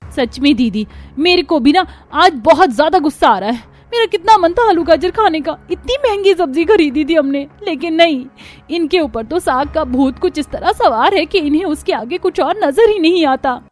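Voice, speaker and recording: Woman plays sly, fed-up young housewife in a family cartoon; high, dramatic complaining. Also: expressive, moderate pace, darkish tone, faint music behind. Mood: angry, fed up. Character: exasperated young daughter-in-law, sly and whiny. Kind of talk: Hindi cartoon story acting.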